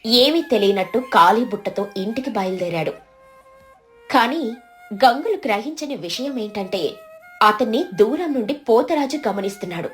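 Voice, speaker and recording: A woman with a high voice narrates a moral tale from a script. Music plays softly behind her storytelling. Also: lively intonation, normal speed.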